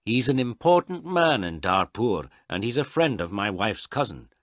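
A man's voice: on the low side, big pitch swings, normal speed, dry recording, studio quality, voice pushed up. A man voicing a helpful village school teacher who explains things reassuringly in a storybook reading.

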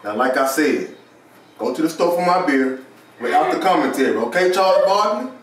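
A man's voice: fairly high pitch, expressive, normal speed, natural voice. In a very echoey room, caught from a distance, an actor plays a young man who bullies his partner. He is profane, commanding and out of work, in a homemade stage drama. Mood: angry, bossy. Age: young adult. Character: domineering, foul-mouthed unemployed man bullying his partner. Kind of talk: amateur stage drama dialogue. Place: small living room, far camera.

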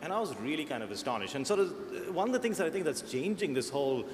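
A man's voice: a little high, very animated, fast. Man with a mixed American-Indian accent. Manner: thoughtful, restarts phrases. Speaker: search engineer panelist. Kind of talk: conference panel discussion. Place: large conference hall. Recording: echoey conference hall, faint noise.

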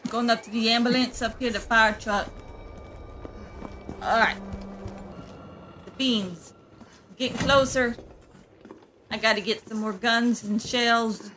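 A woman's voice: a little high, steady tone, slow pace, pauses often, background noise. A woman voicing a needy, pushy young female survivor in an improvised comedy game dub, whiny and colloquial. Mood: searching, casual. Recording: music behind.

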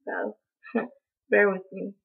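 Hoarse, gravelly young woman vlogger. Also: mid-range voice, steady tone, takes it slowly. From North Carolina. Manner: chatty, apologetic, lots of ums, coughs now and then. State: hoarse from allergies. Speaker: beauty vlogger. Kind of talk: casual haul video. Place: small indoor room, in front of a door. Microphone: webcam at close range. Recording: tinny, phone-like sound.

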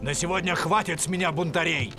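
A man voicing a gruff, commanding Viking chieftain father in an animated film dub. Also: fairly high pitch, a little fast, lightly acted. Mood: stern. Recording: film soundtrack with music behind.

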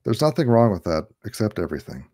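A man's voice: on the low side, sing-song, quick pace, mellow. A man in his thirties hosting a casual livestream on a video call, laid-back and jokey, often laughing. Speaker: livestream host. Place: home room. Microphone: headset on a video call.

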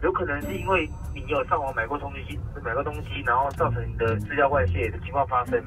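A man on a phone call speaking as a call-centre agent in a smooth, businesslike way. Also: mid-range voice, fast. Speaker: phone scam caller. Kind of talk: scripted call-centre talk.

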